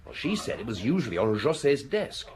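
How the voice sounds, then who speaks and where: An actor playing a keen, deferential young police detective in a British radio drama. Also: male voice, medium pitch, very animated, brisk, a little mellow, lightly acted. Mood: eager. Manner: keen, respectful. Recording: noisy radio drama recording.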